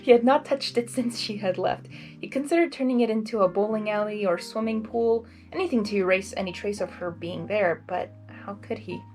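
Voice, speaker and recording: A woman reading a story expressively and breaking into casual, chatty, excitable reactions. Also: mid-range voice, fairly quick, faint music behind. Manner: excitable, giggly asides. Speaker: fan fiction reader and reactor.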